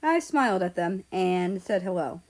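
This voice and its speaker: A woman narrating a scripted first-person story in a measured, deliberate way. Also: lowish voice, lively intonation, darkish tone. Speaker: audiobook-style reader of fan fiction.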